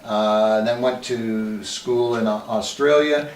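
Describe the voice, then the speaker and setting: A man introducing his interview guest in a halting way, with many uhs. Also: fairly low pitch. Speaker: interviewer, magazine editor. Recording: echoey room, mic at a distance, faint hiss.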